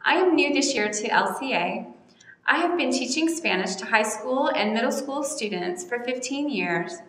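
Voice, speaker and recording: Bright-voiced teacher in an echoey room. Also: female voice, high voice, lively intonation. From North Carolina. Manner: measured, orderly and explanatory. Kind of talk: recorded welcome message to parents. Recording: echoey room with long reverb.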